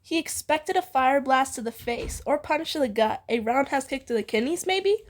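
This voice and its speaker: A woman's voice: high voice, normal speed. A woman reading fan fiction aloud in a casual way, often breaking into giggles and stumbling over the text.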